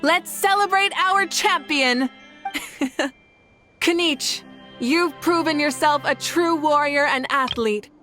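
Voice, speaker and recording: A woman's voice: high voice, slow pace, pushed and bright, soft background music, heavily acted. An actress voicing a confident female ruler in a game, proud and commanding. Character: confident fiery female ruler, warm champion. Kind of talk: game cutscene voice acting.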